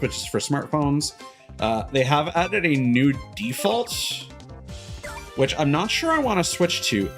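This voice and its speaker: A male live streamer talks in a medium-pitched voice over background music. He rambles casually through game commentary, self-deprecating and loose with swearing. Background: cartoonish upbeat game music.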